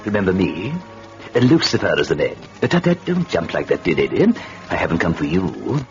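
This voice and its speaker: A man's voice: deep voice, huge pitch swings, brisk, soft background music, exaggerated voice. A man playing a gleefully wicked, mock-courteous devil who tells a story in an old radio horror drama, theatrical and sly. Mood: sly, teasing. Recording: dull lo-fi old radio broadcast recording.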